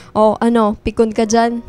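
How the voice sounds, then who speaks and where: A woman's voice: fairly high pitch, monotone, takes it slowly, a little mellow, smooth, soft background music. A woman playing a sulky, teasing college-age best friend in a radio drama, with blunt slangy banter and a guarded streak. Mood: teasing, irritated.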